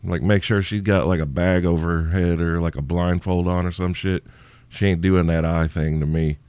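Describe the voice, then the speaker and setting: A man's voice: low voice, steady tone, normal speed, a little mellow, dry recording. A male podcast host answering listener questions in a crude, irreverent, rambling way, sounding sleepy and tired.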